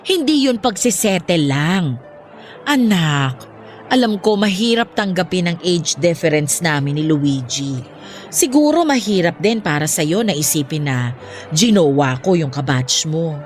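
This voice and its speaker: A woman's voice: fairly low pitch, expressive, a little slow, faint music behind. A woman with a Filipino accent playing a playful but firm middle-aged mother in a radio drama. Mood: firm, pleading.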